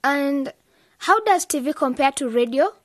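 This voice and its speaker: Young female student, eager and outspoken, high-pitched, for educational radio drama. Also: animated, a little slow, no room sound, studio quality.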